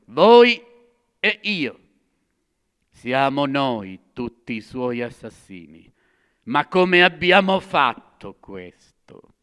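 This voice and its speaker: A man voicing a frantic, grieving madman who swings from shouting to hushed murmurs, in a dramatic reading. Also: mid-range voice. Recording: long hall reverb.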